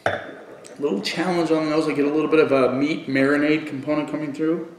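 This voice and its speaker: A middle-aged man giving a casual wine review on video, thinking aloud haltingly and repeating words for emphasis. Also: fairly high pitch, fairly even intonation, a little hiss or hum. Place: living room at home, indoors. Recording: echoey room.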